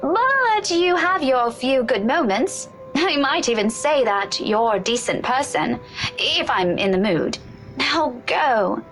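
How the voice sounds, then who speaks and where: A sharp, teasing young woman. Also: high-pitched, sing-song, slow pace, heavily acted. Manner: sarcastic, teasing, blunt. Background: faint game music.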